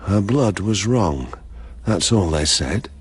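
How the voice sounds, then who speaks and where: An actor playing a haunted, grief-stricken father who has lost everything, in brooding, halting, bitter verse for a radio drama. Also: low voice, animated, husky, no room sound, heavily acted. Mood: bleak. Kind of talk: poetic radio drama monologue.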